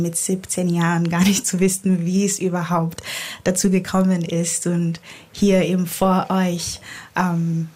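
A woman's voice: fairly low pitch, darkish tone. A young woman, answering reflectively as a guest poet in a live interview. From born in Nigeria, grew up in Graz, Austria.

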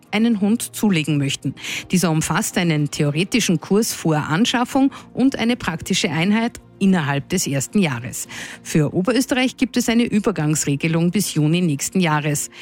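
A woman with an Austrian accent reading a scripted regional radio news bulletin. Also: on the low side. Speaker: radio newsreader. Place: radio studio.

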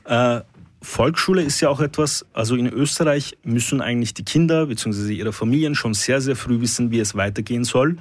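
A man's voice: mid-range voice, a little fast, no echo. A man hosting a discussion, asking long questions with many fillers. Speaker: host. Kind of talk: talk show discussion.

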